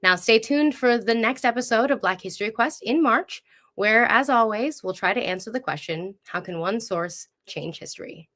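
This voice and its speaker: A woman's voice: fairly high pitch, expressive, moderate pace, fairly bright tone. A woman hosting a conversational interview show, speaking in a chatty, appreciative way. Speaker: show host.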